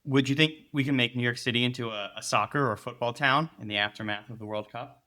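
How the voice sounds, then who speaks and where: A man co-hosting a podcast, asking quick questions with a joking edge. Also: mid-range voice, sharp tone. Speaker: podcast co-host. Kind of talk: casual interview.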